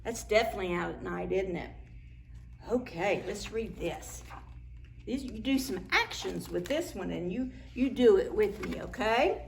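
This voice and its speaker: A woman, a children's librarian, reading picture books aloud at preschool story time in an animated, sing-song way with soft whispered asides. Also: mid-range voice, moderate pace, gravelly, a little hiss or hum.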